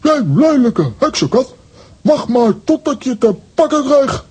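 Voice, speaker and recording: A very raspy, dull-toned buffoon, vain and pompous. He speaks slowly and with wounded dignity, as in a children's audio play. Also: extremely high pitch, sing-song, a little mellow, heavily acted. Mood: indignant. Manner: pompous, indignant. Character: pompous, vain, foolish man Lambiek.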